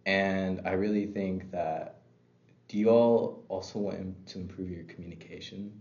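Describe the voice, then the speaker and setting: Low-voiced man sounding under the weather. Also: fairly even intonation, moderate pace, darkish tone. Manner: hesitant, many fillers. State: sick voice. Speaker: sales representative. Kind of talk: role-play sales pitch. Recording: dull sound in an echoey room.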